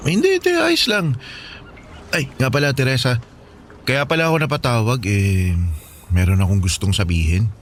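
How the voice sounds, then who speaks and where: A man's voice: mid-range voice, sing-song, normal speed, pauses often, slight background noise, lightly acted. An actor playing a homesick Filipino seaman husband in a radio drama, anxious, hesitant and pleading with his wife. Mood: tender, hesitant. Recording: music bed under the voice.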